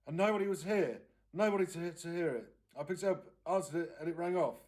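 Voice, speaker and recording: A man plays a distraught aunt in a children's storybook. The voice is lifted well above the reader's usual pitch and comes out louder. The delivery is tearful, halting and emphatic. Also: natural voice. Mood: anguished. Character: distraught, tearful aunt recounting a missed phone call.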